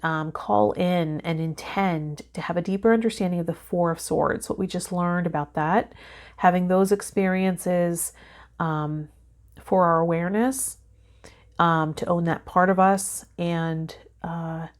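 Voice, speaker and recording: A woman's voice: fairly low pitch, darkish tone. A woman in her thirties talking reflectively and unhurriedly to camera as a tarot video host. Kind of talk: casual reflective vlog talk to camera, partly reading card meanings aloud. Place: indoors, small home filming set with fabric backdrop.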